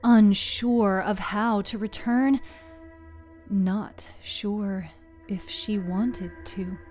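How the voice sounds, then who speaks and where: Slow, mellow woman narrating dramatic fiction. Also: mid-range voice, fairly even intonation. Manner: deliberate, halting, lingering on short phrases. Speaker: storyteller, narrator of a serial fiction podcast. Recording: music under the voice. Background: music.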